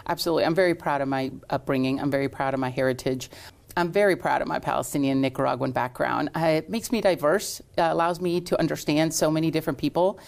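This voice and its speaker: A middle-aged woman, a salon owner, answering interview questions in a relaxed storytelling way with plenty of ums. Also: low voice, fast, vocal fry. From Lexington, Kentucky. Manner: warm storytelling with frequent fillers.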